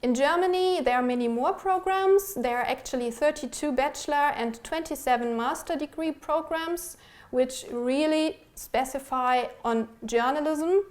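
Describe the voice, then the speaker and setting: Female presenter, fairly high voice, academic researcher delivering prepared slides in a measured, explanatory way.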